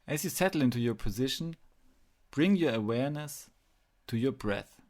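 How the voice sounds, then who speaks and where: A man narrating a guided meditation, speaking in measured phrases with pauses as he gives instructions. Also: mid-range voice, animated, darkish tone.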